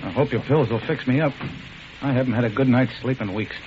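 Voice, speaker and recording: An actor playing a plain-spoken, confident police detective with slangy, matter-of-fact delivery in 1940s radio style. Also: male voice, mid-range voice, brisk, close to an everyday voice. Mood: confident, weary. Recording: muffled, noisy old radio broadcast.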